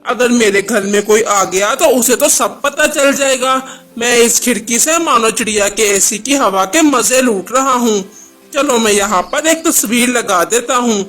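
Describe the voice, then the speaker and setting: A man's voice: very high-pitched, unhurried, fairly bright tone, soft background music. A man voicing a sly, freeloading crow in a children's cartoon story, with an exclamatory, theatrical delivery. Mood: gleeful, sly. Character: scheming freeloading male crow, sly and self-satisfied.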